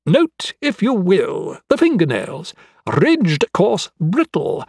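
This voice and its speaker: A man's voice: high-pitched, wildly swooping pitch, takes it slowly, vocal fry, a little mellow, breathy edge, dry recording, studio quality, pitched above the performer's own voice, full character voice. A man voicing a cheerful, youthful, eccentric anatomist in an audiobook, effusive and chatty with a macabre relish. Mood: eager, chatty.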